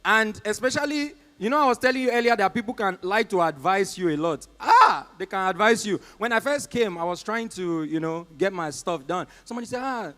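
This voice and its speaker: A young Nigerian man doing live stand-up, telling a story and acting out the people in it with quick voices and loud exclamations. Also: sits high, lively intonation. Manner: acts out callers and agents in quick put-on voices, loud exclamations. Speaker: stand-up comedian. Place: comedy club stage.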